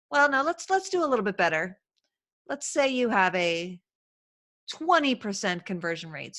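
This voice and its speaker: A woman's voice: mid-range voice, sharp tone. A woman in her thirties hosting a casual live-stream chat, talking loosely with many fillers and laughs. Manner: chatty, laughing, lots of fillers. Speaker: host.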